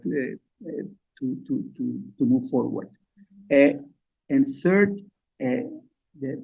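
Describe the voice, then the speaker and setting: Halting, husky-voiced man with a mellow tone. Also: mid-range voice, rough recording. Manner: halting, repeats words, measured. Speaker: trade agency director. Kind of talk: business interview.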